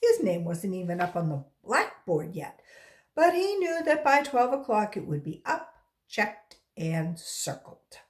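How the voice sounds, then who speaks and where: Expressive woman reading a children's story, unhurried. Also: mid-range voice. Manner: acts out dialogue with emphasis. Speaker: volunteer story reader. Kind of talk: expressive read-aloud for children.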